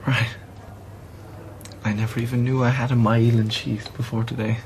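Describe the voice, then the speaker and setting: An actor playing a stunned, dryly self-mocking patient in a radio drama. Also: male voice, mid-range voice, flat delivery, moderate pace, slight background noise, natural voice.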